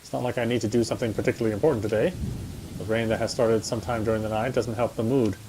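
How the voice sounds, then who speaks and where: A man's voice: fairly low pitch, fairly even intonation, very fast, background noise. A man reading a horror visual novel aloud as a dramatic first-person narrator.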